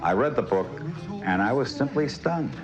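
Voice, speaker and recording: A man giving a measured recollection in an interview. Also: mid-range voice, lively intonation. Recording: muffled audio, music behind.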